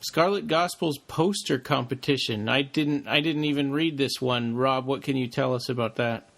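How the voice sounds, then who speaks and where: A man co-hosting a podcast in casual chat, speaking haltingly as he searches for words. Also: mid-range voice, gravelly, fairly bright tone. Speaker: podcast co-host.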